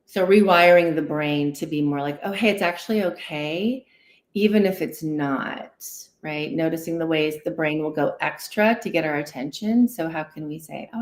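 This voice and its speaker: Woman explaining conversationally in an echoey room. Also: deep voice. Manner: animated, acts out inner dialogue, often tags sentences with "right?". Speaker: guest therapist, licensed clinical social worker. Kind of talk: conversational explaining in a livestream interview. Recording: roomy, echoey sound.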